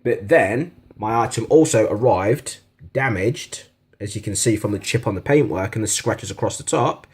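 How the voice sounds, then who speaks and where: A man's voice: medium pitch, darkish tone. A British man giving an informal, matter-of-fact product review to camera, speaking in a plain-spoken, complaining way. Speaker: product reviewer on a video channel.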